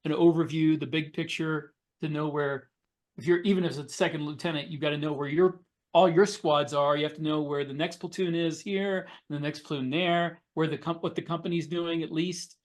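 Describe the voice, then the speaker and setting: A man's voice: on the high side, steady tone, a little fast. A middle-aged man, a podcast co-host, explaining things in a casual conversational way.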